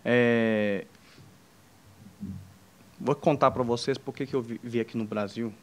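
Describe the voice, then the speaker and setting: A young man from China speaks with a clear Chinese accent and a pitch right in the middle for a man. He tells his story informally to a crowd, in stops and starts, full of restarts and little jokes. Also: steady tone. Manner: halting, many fillers and restarts, jokes with the audience. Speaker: entrepreneur speaking on a panel. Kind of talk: informal personal story told to an audience.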